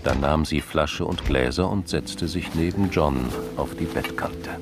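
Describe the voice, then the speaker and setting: A man's voice: a bass voice, measured pace. A man narrating a radio crime drama from a script. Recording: music behind the voice.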